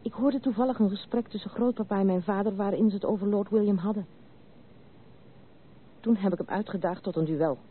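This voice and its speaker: A woman's voice: mid-range voice, brisk, husky, natural voice. An actress playing a spirited but wounded young noblewoman in a Dutch radio drama, speaking candidly and earnestly in literary phrases. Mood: confessional. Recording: narrow tinny old radio recording, faint hiss.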